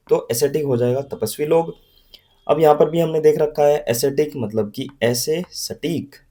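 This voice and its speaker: A young man teaching vocabulary in Hindi with a western Uttar Pradesh accent and a slight Haryanvi touch. He explains patiently, repeats his memory tricks and reads English definitions slowly. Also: medium pitch, mellow. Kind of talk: recorded classroom-style lesson.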